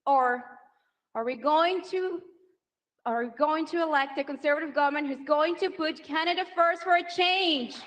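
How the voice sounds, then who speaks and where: A woman's voice: high voice, normal speed, fairly bright tone, poor audio. A woman, speaking emotionally into a handheld mic at a large outdoor rally and building to rousing applause lines. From Venezuela. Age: 30s. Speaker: political spouse introducing candidate. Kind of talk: rally speech.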